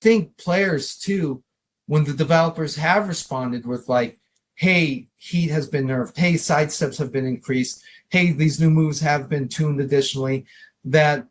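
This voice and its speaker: A man's voice: on the high side, measured pace. A man debating online in an informal, emphatic, argumentative conversational way. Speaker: debate participant. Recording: clear background noise.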